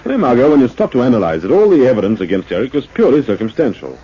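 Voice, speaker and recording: An actor playing a composed, shrewd man-about-town sleuth in a 1940s radio drama, with a measured, dry, educated delivery. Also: mid-range voice, sing-song, dark tone, breathy edge. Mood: concerned, reflective. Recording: muffled, hissy 1940s radio recording.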